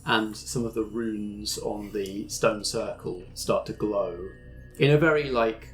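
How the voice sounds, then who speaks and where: A man's voice: fairly low pitch, slow, soft background music. A man narrating a tabletop game as game master, descriptive with small restarts.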